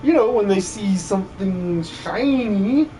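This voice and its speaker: A man voicing an excitable, grateful little treasure-loving creature in a theatrical video game fan dub. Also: high-pitched, a little slow, a little hiss or hum, rough recording. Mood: apologetic, boastful. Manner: gushing, theatrical.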